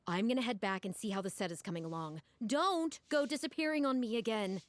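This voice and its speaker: A woman's voice: on the high side, expressive, normal speed, slight background noise, lightly acted. A woman playing a bossy opera troupe manager in a video game, with a firm, nagging way of talking. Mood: exasperated relief.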